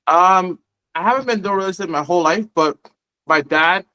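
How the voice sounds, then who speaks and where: Guest investor from Guyana, young adult man, slightly high voice, casual and relaxed, restarts his phrases. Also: moderate pace, low-quality recording. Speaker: podcast guest, real estate investor. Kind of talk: casual conversation.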